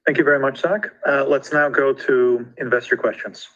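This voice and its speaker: A man's voice: medium pitch, fairly even intonation, normal speed, a bit bright. A man moderating a corporate call, reading out investor questions in a businesslike way. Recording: dull, radio-like conference call audio.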